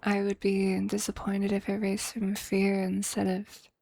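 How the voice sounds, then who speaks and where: A man voicing an obsessive, tender androgynous vampire lover in an ASMR roleplay, speaking in a hushed, breathy, deliberate and often whispered voice with a seductive manner. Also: sits high, monotone, no room sound, exaggerated voice. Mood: doting, possessive. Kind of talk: ASMR romantic roleplay, intimate scripted monologue.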